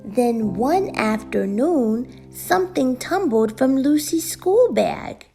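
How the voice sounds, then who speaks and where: Female children's storybook reader, higher voice, unhurried and gentle, prominent music bed. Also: sing-song, vocal fry. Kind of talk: children's story read-aloud.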